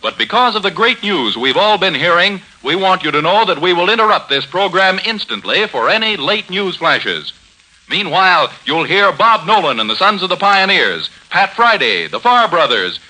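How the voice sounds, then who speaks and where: A man's voice: high-pitched, sing-song, normal speed, sharp tone, poor audio. An American man announcing a 1940s radio show from a script, with a formal, emphatic delivery on a vintage broadcast recording.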